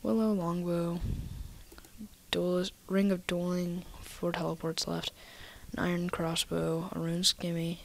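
A woman casually walking through her game inventory in a soft, half-whispered voice, a little nasal from a cold, recorded on a computer mic. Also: lowish voice, flat delivery, a little slow, vocal fry, slight background noise. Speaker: gaming video maker.

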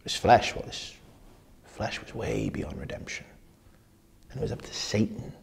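An actor playing a grim, haunted army veteran telling war stories in a husky, plain-spoken, intimate dramatic monologue. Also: male voice, fairly low pitch, sing-song, measured pace, slight background noise, exaggerated voice. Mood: grim, reminiscing.

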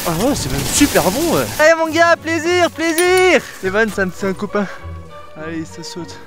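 Young guy, high lively voice, hyping himself up on the slope, music over it. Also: moderate pace. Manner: high-pitched, excitable shouting. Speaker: freeride skier. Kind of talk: casual chat while skiing. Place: outdoors on a snowy mountain. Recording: music playing underneath.

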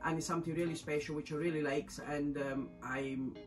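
A woman's voice: deep voice, fairly even intonation, faint music behind. Encouraging Italian-accented middle-aged woman. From Italy. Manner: earnest, encouraging, halting non-native phrasing. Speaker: crochet teacher and shop owner. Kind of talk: promotional class introduction. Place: indoor yarn studio with shelves.

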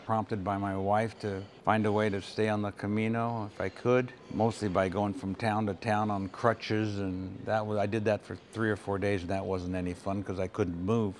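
A man in his seventies from California, calmly telling of an injury while seated indoors on a sofa, wearing a lapel mic. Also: fairly low pitch, moderate pace, few pauses, faint music behind. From California, USA. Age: 70s. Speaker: pilgrim interviewee. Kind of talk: reflective storytelling.

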